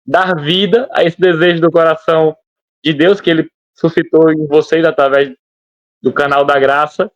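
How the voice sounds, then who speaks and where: A man's voice: on the high side, steady tone, normal speed, no echo, dull audio. A man co-hosting a podcast, asking long, winding questions full of repetitions. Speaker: podcast co-host. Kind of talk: conversational interviewing.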